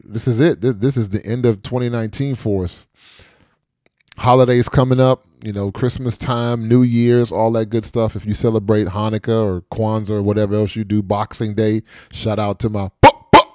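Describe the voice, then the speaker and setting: A man's voice: on the low side, fairly even intonation, moderate pace, a little mellow, dry recording, clean studio sound. A middle-aged man hosting a podcast in casual chat, easygoing and rambling, with many fillers and restarts. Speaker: podcast host.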